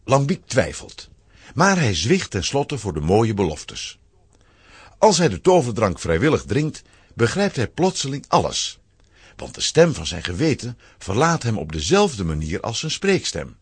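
A man narrating a scripted children's audio play as an expressive storyteller. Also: mid-range voice, a little slow, slightly rough, no room sound.

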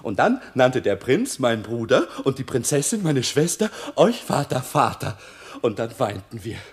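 An actor playing a naive, boastful young shepherd in a comic radio play, full of self-importance. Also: male voice, mid-range voice, sing-song, moderate pace. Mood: boastful, proud.

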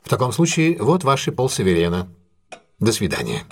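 An actor in an audio drama playing a shrewd, commanding gentleman detective, husky, courteous and intense, with theatrical deliberate delivery. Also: lowish voice, wildly swooping pitch, heavily acted. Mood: probing, stern. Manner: theatrical, authoritative, deliberate questioning.

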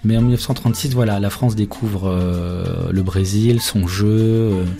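A man's voice: on the low side, steady tone, normal speed, gravelly, over music. A man speaking as a radio interview guest, colloquial and hesitant, often repeating his words as he explains. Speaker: interview guest, journalist who researched football history.

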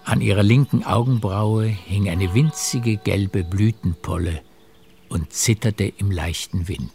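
A man narrating a literary radio drama with measured, deliberate phrasing. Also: on the low side, vocal fry, a little mellow, a little hiss or hum.

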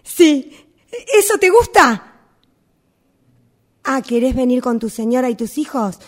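A woman's voice: sits high, lively intonation, slow, exaggerated voice. A woman with a Rioplatense Argentine accent playing an eager, hopeful woman awkwardly coaxing someone out, in a radio theatre exercise. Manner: hesitant, coaxing. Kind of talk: radio theatre workshop monologue.

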